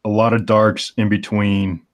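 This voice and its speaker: A man's voice: on the low side, little pitch movement, unhurried. A man in his forties, a comic artist, chatting casually and thinking aloud as he searches for the right words. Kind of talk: casual chat on a live stream.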